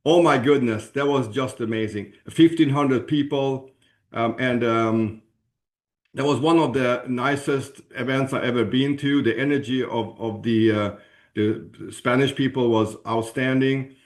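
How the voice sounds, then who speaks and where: A man from Sweden with a Swedish accent gives an informal promotional presentation, haltingly searching for words and clearing his throat. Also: mid-range voice, fairly even intonation. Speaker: presenter at a business opportunity webinar.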